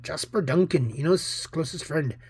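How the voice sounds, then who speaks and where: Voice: mid-range voice, normal speed, a little mellow, husky. A reader voicing a blustering, boastful inventor in a comic book story, pompous and accusing.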